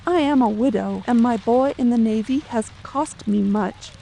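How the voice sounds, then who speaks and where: A woman's voice: on the high side, moderate pace, gravelly, dark tone, a little hiss or hum, low-quality recording. A woman playing a distressed, fearful middle-aged widow and protective mother in formal, old-fashioned phrasing for a dramatic audiobook. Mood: anxious, sorrowful. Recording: faint rain ambience behind.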